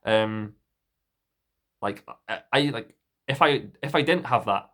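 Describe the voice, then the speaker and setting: A man's voice: mid-range voice, takes it slowly, a bit bright. A young man from Scotland with a mild Dundee accent, talking straight to camera in an indignant, defensive monologue full of restarts. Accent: Dundee Scottish, mild. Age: young adult. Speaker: vlogger.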